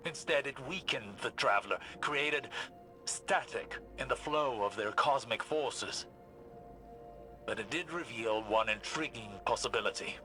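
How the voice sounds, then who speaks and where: A grave science fiction scholar, with a bright, focused voice at a middle pitch. He speaks in formal, deliberate phrases and weighs each idea with caution, as in dramatic game dialogue, with music underneath. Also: low-quality recording. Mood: grave, pondering. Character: grave, learned male scholar in a sci-fi world, measured and cautious.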